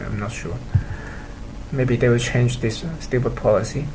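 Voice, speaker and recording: Halting man, Cantonese native, complaining. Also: medium pitch, fairly even intonation, noisy background. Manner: halting, full of fillers and restarts, complaining. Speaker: vlogger. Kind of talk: casual monologue to camera.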